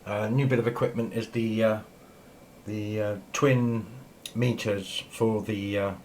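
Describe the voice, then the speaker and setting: A man giving a casual, ad-libbed video tour in a hesitant, unhurried, rambling way, recorded on a handheld camera in a small room. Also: on the low side, level tone, darkish tone. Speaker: amateur radio operator filming shack tour. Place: small indoor radio room. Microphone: handheld camera mic. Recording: noisy handheld camera sound.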